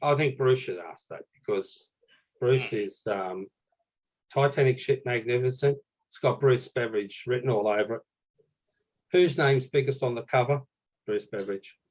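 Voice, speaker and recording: A man with an Australian accent, a guest author talking in a casual, rambling way and clearing his throat now and then. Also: medium pitch, normal speed, a little mellow, dull audio. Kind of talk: casual conversation.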